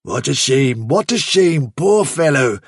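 A man's voice: medium pitch, sing-song, creaky, breathy edge, no echo, clean studio sound, voice pushed up, full character voice. Man acting a condescending elderly male rabbit chief. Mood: soothing, dismissive. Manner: bumbling, over-courteous, drawn-out patronising phrasing.

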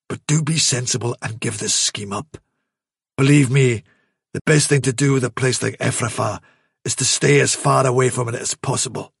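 A man voicing a veteran male rabbit who gravely pleads against a dangerous plan. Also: no room sound, clean studio sound. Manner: grave, pleading, low-voiced.

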